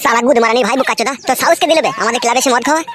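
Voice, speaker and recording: A woman's voice: on the high side, rapid-fire, noisy background, close to an everyday voice. A woman voicing a scheming, foul-mouthed young male troublemaker in a comedy cartoon, slangy and bossy. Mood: angry. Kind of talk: comic cartoon dialogue. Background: music.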